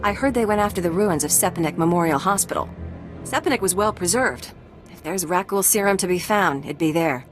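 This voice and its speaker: Low-voiced actress as an earnest scientist. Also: female voice, expressive, brisk, lightly acted. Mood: earnest, conspiratorial. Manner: precise, formal explaining. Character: dedicated female scientist doctor, earnest, wry, determined. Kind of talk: video game voice acting. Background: music.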